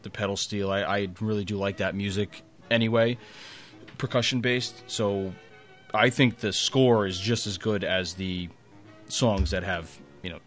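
A man's voice: lowish voice, a little slow, soft background music. A man co-hosting a podcast, chatting casually, agreeing eagerly and rambling a little. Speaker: podcast co-host. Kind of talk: casual chat.

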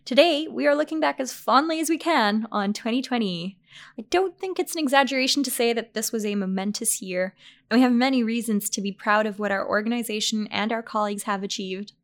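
A woman's voice: fairly high pitch, brisk. Upbeat woman hosting a podcast quiz. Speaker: podcast host.

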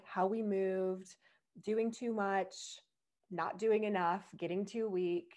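A young adult woman hosting a solo podcast. She explains things in a reflective way, laughs now and then, and her voice is slightly husky from strain. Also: medium pitch, darkish tone. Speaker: podcast host.

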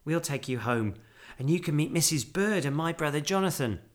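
A man's voice: a little high, expressive, moderate pace, pitched above the performer's own voice, natural voice. A man voicing a friendly, eager young girl in a children's storybook, kindly and reassuring. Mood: welcoming.

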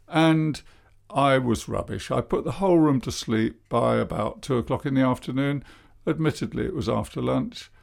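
A man, speaking as a hypnotherapist and public speaker in a measured, self-deprecating monologue about his flat voice. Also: medium pitch, vocal fry. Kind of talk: reflective monologue.

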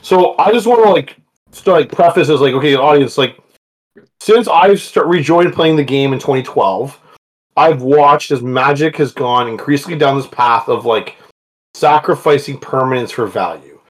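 A man's voice: medium pitch, normal speed, low-quality recording. A man hosting a podcast, chatting casually and enthusiastically, laughing often as he talks with his co-host online. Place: home, talking online.

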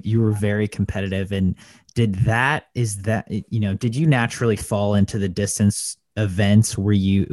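A man's voice: on the low side, a little mellow, no echo, clean studio sound. A man hosting a podcast interview, asking long, rambling questions full of fillers and restarts. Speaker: podcast host. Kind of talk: casual interview conversation.

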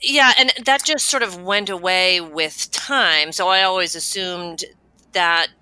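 Woman host, casual chat, bright smooth voice, slightly low, dry sound. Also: measured pace, no room sound, poor audio. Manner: chatty, self-deprecating, laughs easily. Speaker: podcast host.

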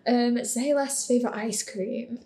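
High-voiced woman in casual, giggly chat. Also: steady tone, unhurried, gravelly, warm tone. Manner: giggly, tentative, thinking aloud. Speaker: podcast voice actor and panel guest.

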